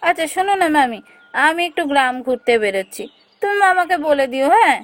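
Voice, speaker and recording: A woman voicing a curious, eager young relative who narrates and acts in an animated ghost story, expressive and inquisitive. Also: sits high, fast talker. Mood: casual, affectionate.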